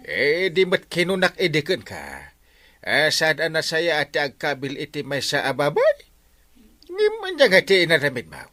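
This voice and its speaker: Village captain for radio comedy: a man playing exasperated Ilocano scolder, extremely animated intonation, forceful bright tone. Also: fairly high pitch, normal speed, no room sound, heavily acted. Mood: scolding. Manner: theatrical, scolding.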